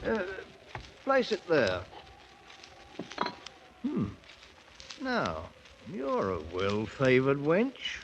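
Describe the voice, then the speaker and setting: High-pitched, blustering British soldier-king. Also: wildly swooping pitch, extremely slow, pauses often, lo-fi sound, exaggerated voice. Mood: curious, gruff. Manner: blustering, slangy, commanding. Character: brash, boastful British ex-soldier adventurer crowned as a king. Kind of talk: 1950s radio drama acting. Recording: noisy 1950s radio broadcast recording.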